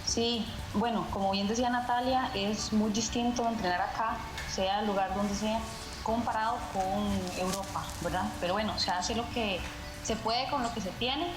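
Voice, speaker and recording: A Costa Rican woman speaks from home over a video call, and her voice comes through roomy and echoey. She talks in a measured, steady way, often tagging her sentences with "¿verdad?". Clear music plays underneath. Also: mid-range voice. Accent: Costa Rican Spanish. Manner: measured, fills pauses with "¿verdad?". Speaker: mountain runner guest.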